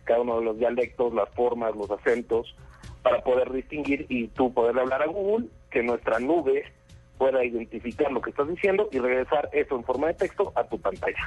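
Mexican male spokesman, raspy voice, explanatory with fillers, soft music bed. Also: mid-range voice, brisk, highs cut off. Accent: Mexican Spanish. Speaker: company spokesman. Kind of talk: interview answers.

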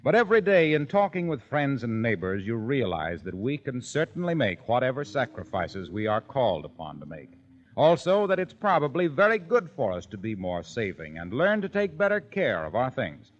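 A man announcing on 1940s radio in an earnest, polished sponsor-pitch style. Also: sing-song, normal speed. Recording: dull 1940s radio broadcast.

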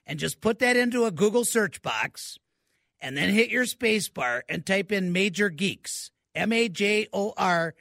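A man's voice: high-pitched, lively intonation, unhurried, vocal fry, pushed and bright, no echo, studio quality. A man, co-hosting a radio call-in show and giving tech advice with enthusiasm, repeating words for emphasis. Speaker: tech expert co-host.

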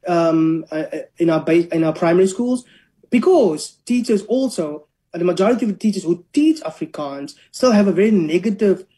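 Warm-toned academic explaining. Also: mid-range voice, lively intonation, a little fast. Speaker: linguist and academic. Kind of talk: explaining in an interview.

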